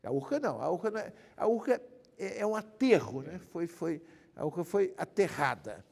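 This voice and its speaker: A man's voice: high-pitched, very animated, moderate pace, creaky, darkish tone, in a large hall. An older man from Rio de Janeiro with a Carioca accent, telling stories as an interview guest, rambling and often adding "né?". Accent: Carioca Brazilian Portuguese. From Rio de Janeiro, Brazil. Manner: rambling, repeats himself, frequent "né?". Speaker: guest lawyer. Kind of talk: interview storytelling.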